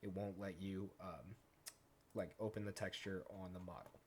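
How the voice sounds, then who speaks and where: A man hosting a software tutorial, explaining each step informally in a casual, conversational way. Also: low-pitched, steady tone, normal speed, slight background noise. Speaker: tutorial host. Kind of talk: casual screen-recorded software tutorial narration.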